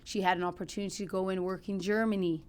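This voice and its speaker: A woman's voice: mid-range voice, little pitch movement, fast talker, darkish tone. A middle-aged woman from Ukraine with a Ukrainian accent, telling personal stories conversationally as a podcast guest, earnest and emphatic.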